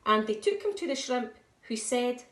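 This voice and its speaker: A woman, a nursery teacher, reading a picture book aloud to young children in an expressive, sing-song way. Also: a little high, moderate pace, a little hiss or hum. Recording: echoey room.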